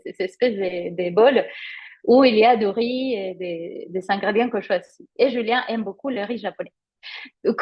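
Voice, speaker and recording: A woman with a Spanish accent, a native Spanish speaker, teaching conversationally in a live webinar as a yoga teacher trainer, explaining with personal examples and pausing to find her words. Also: fairly high pitch, highs cut off.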